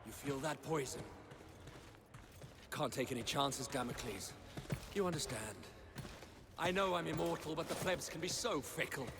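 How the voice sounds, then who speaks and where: Male actor for a jeering, boastful tyrant emperor, gravelly, over faint hiss. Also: on the high side, moderate pace, pauses often, close to an everyday voice. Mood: gloating. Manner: mocking, boastful taunts. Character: arrogant cruel emperor, adult man.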